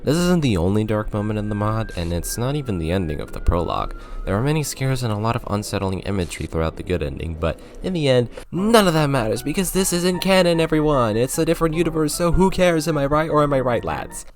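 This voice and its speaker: A man's voice: medium pitch, animated, fast talker, hardly any pauses. A man narrating a scripted video game review, with sarcastic, joking asides.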